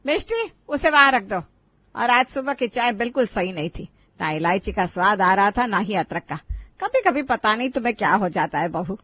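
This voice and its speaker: Woman playing fussy, animated bossy mother-in-law. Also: mid-range voice, no echo. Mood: fussy, annoyed. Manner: nagging, quick to take offence. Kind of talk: animated family drama voice acting in Hindi.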